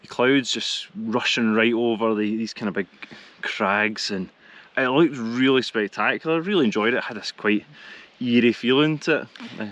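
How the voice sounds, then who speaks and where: A man's voice: mid-range voice, normal speed, a bit bright. A middle-aged Scottish man with an easygoing, rambling way of talking and dry humour, co-hosting a hiking vlog outdoors in the hills. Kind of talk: casual outdoor chat. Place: mountainside outdoors.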